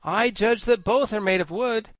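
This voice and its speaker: A man voicing a pompous, learned male insect gentleman with grand, formal speech. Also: high-pitched, moderate pace, no room sound, clean studio sound, voice pushed up. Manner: grandiloquent, formal, self-satisfied. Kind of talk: audiobook character voice.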